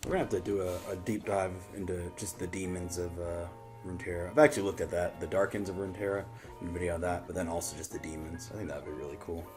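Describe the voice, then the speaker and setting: A low-voiced man hosts a reaction video. He talks off the cuff in a relaxed, rambling, casual style, with faint music behind him. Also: fast talker. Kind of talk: casual chat.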